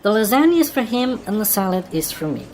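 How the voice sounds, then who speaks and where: Woman acting a probing colleague, animated voice. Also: medium pitch, quick pace, background noise, close to an everyday voice. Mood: friendly. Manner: concerned, direct, teasing. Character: probing, flirty female work colleague. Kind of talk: naturalistic audio drama acting.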